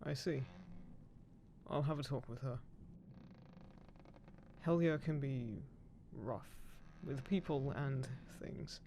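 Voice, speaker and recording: A man playing a roguish, smug, gruffly caring undead pirate captain in a roleplay audio drama, teasing and menacing, speaking unhurriedly to the listener. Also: mid-range voice, takes it slowly, long pauses, warm tone, slight background noise. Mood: gruff concern, then wry, guarded. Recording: constant ship creaking ambience behind voice. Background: creaking wooden ship sound effects.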